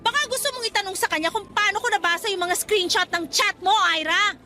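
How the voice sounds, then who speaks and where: A woman playing a furious, scornful young office worker in a radio drama, loudly accusing someone. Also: extremely high pitch, quick pace, faint music behind. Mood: angry, scornful. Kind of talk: Tagalog radio drama acting.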